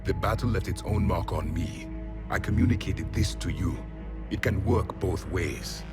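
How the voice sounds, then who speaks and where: Deep, grave alien warrior. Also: male voice, normal speed, gravelly, loud music behind the voice. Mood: cold, grave. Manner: grave, disdainful, clipped pronouncements. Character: proud, bitter alien warrior, last survivor of his race.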